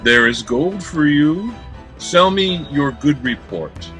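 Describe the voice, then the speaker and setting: A man reading the part of a boorish, spoiled young princely suitor in an amateur Shakespeare play, declaiming archaic verse in drawn-out phrases. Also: on the high side, lively intonation, fairly bright tone, faint music behind. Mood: brash. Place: remote online video-call reading.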